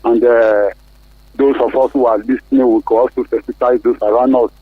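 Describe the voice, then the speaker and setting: A man's voice: medium pitch, flat delivery, fairly quick, slightly rough, dry recording. A man with a Nigerian accent calling a radio show by phone, giving his opinion earnestly in slightly formal wording. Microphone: telephone. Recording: muffled, narrow tinny phone line.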